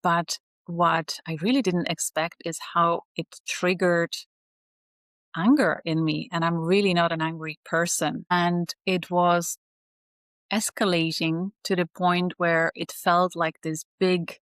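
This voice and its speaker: Woman in her 40s, low voice, even delivery, dry sound. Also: takes it slowly, no echo. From Slovakia. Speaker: astrology teacher, guest. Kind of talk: reflective interview talk.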